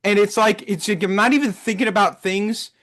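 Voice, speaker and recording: A sports podcast co-host, a man whose voice sits very high and has gone hoarse. He rants and repeats himself as he reacts live. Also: brisk, a bit bright. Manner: ranting, repeating himself in frustration. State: hoarse, losing his voice.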